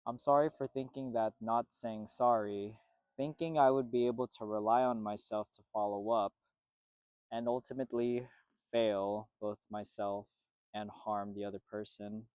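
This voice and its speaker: Earnest low-voiced man, dry sound. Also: steady tone, a little mellow, clear, resonant tone, no echo. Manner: measured, earnest, repetitive phrasing. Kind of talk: reflective spoken apology monologue.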